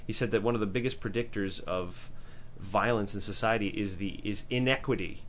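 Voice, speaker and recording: Animated man with a powerful voice. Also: on the low side, brisk, slight background noise. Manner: animated, swearing, many restarts and asides. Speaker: podcast co-host. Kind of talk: casual discussion.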